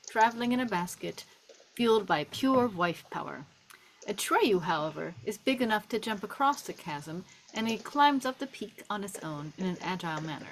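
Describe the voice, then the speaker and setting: A woman's voice: medium pitch, slight background noise, low-quality recording. A woman narrator reading scene descriptions and stage directions aloud at a script table read.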